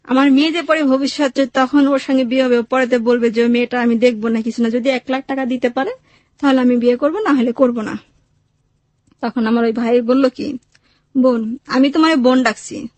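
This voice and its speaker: Bangladeshi woman telling her life story, pretty high voice, everyday wording, really dry sound. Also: steady tone, brisk, darkish tone, dry recording. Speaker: interview guest telling own life story. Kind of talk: personal storytelling, recounting with quoted dialogue.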